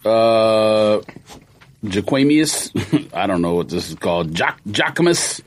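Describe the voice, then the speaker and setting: A man co-hosting a sneaker podcast, chatting casually, laughing, teasing and firing back quick retorts. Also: fairly low pitch, animated. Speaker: podcast co-host. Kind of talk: casual chat.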